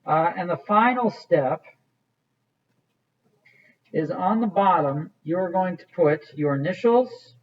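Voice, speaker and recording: A man's voice: high-pitched, animated, slow pace, pauses often, highs cut off. A man teaching an art class, walking students through a clay project in a casual, rambling way with many fillers. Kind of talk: classroom demonstration.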